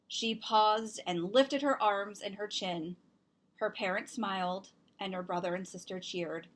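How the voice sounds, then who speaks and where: A woman reading a children's picture book aloud, expressively and deliberately, with emphasized words. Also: mid-range voice, a bit bright. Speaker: storybook reader and host.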